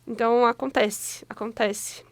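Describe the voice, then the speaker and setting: A young woman from Brazil chats on a radio show with a slightly high, gravelly voice. She tells her stories in an animated way and laughs often. The studio sound is dry and close. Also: unhurried, dry recording. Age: young adult. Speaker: radio co-host. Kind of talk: casual chat.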